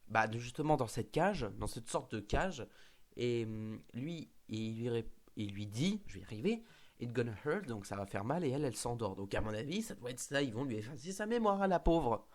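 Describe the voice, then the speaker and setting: A man's voice: mid-range voice, sing-song, fairly quick. Man with a cold, chatting. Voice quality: hesitant, many fillers and restarts. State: has a cold. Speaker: host. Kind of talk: casual fan discussion.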